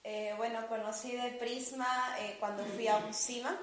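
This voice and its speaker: A young Peruvian woman in her twenties giving a personal testimony in short phrases, pausing for an interpreter, with a Peruvian Spanish accent. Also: a little high, fairly even intonation, slight background noise. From Peru, Lima. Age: 20s. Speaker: new mission school student. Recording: echoey hall.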